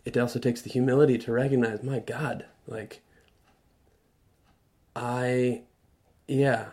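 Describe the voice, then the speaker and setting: Radio guest, male, earnest reflective talker who stops and restarts, mid-pitched voice. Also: flat delivery. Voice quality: loud voice. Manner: earnest, halting with many restarts. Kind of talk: reflective conversational talk. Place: radio studio.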